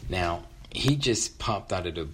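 Very deep-voiced American man telling a story. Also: expressive, noisy background. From West Philadelphia, United States. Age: 50s. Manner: halting, reflective, repeats words. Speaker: featured storyteller. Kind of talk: personal storytelling, conversational.

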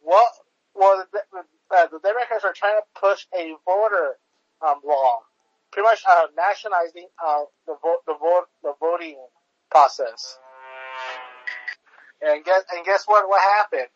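Man, high-pitched co-host, halting delivery with stacked fillers, dry lo-fi sound. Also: no room sound. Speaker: podcast co-host. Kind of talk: casual political chat.

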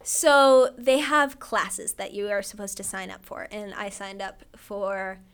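A teenage girl from Ohio, a high school senior, chatting enthusiastically as a podcast guest. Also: fairly even intonation, unhurried, a bit bright, clear, resonant tone. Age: teens. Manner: enthusiastic, upbeat. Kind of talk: casual interview chat.

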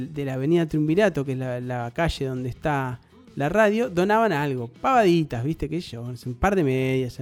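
Argentine male radio host, rambling and chatty, fairly high pitch, soft music bed. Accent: Argentine Rioplatense. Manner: chatty, rambling, peppered with tag questions. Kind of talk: nostalgic storytelling on air.

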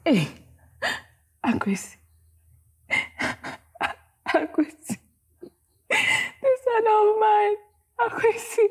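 A woman's voice: very high voice, huge pitch swings, extremely slow, pauses often, heavily acted. A woman with a Ghanaian accent playing a hurt, reproachful single mother in an audio drama, speaking dramatically and emphatically. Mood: anguished, crying. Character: hurt, scolding single mother of a teenage son.

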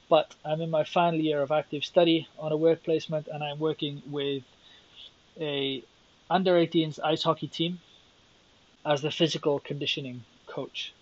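A man's voice: on the high side, dry recording. Rambling Welsh man on a lo-fi podcast. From Wales. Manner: rambling, self-deprecating, thinking aloud. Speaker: podcast host. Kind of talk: solo podcast monologue.

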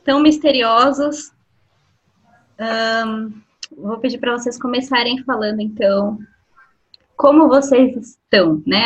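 A woman's voice: medium pitch, breathy edge, rough recording. A woman hosting a podcast, chatty and friendly, introducing guests and asking questions. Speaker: podcast host.

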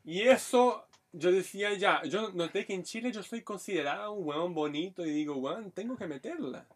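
A man from Brazil speaks with a Brazilian accent and a slightly high voice. He co-hosts a casual podcast, telling blunt, candid stories packed with local slang. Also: normal speed, breathy edge. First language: Portuguese. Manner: frank storytelling, heavy Chilean slang. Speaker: podcast co-host. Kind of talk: casual chat.

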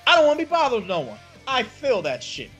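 Guy doing a fed-up spurned husband, cussing and complaining, high lively husky voice. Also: fast, soft background music, heavily acted. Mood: exasperated. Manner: indignant, cursing rant. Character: exasperated man, sulking spurned husband.

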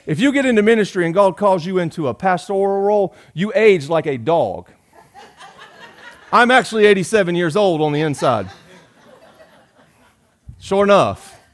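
A man, preaching a sermon in a folksy, joking way, rising loud and dropping soft. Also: high-pitched, sing-song, normal speed, long pauses. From Georgia. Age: 40s. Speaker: pastor.

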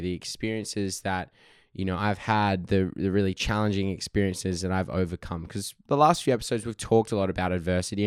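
A deep-voiced man in his thirties hosts a relaxed solo podcast from his couch. His voice is husky from a cold but still resonant, and the recording is close and dry. He rambles, laughs often and swears casually. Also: no room sound. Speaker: podcast host. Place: sitting on his couch at home.

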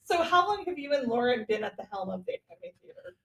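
A woman's voice: a little high, big pitch swings, quick pace, fairly bright tone, breathy edge, rough recording. A woman speaking as a podcast host, introducing and interviewing a guest.

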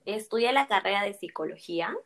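A woman's voice: mid-range voice, lively intonation, a little fast, fairly bright tone. A woman in her early twenties answering interview questions in a friendly, hesitant way, often repeating herself. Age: 20s. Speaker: guest.

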